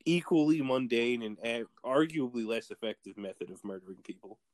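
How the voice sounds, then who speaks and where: A man's voice: mid-range voice, lively intonation, a little fast, darkish tone, no room sound. Easy-laughing Texan man, dry close mic. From Texas. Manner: laughs easily, hesitant, lots of "like". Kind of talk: casual chat.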